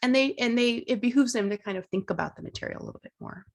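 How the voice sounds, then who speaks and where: A woman's voice: fairly high pitch, animated, racing, gravelly. A woman instructor presenting at an online teaching workshop, talking casually and thinking aloud, with fillers and restarts.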